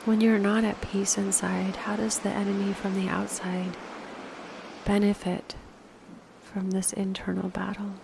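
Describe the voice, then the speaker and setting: A woman leading a slow guided meditation, speaking softly and unhurriedly in short phrases with pauses, often posing reflective questions. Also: mid-range voice, flat delivery, gravelly, background noise.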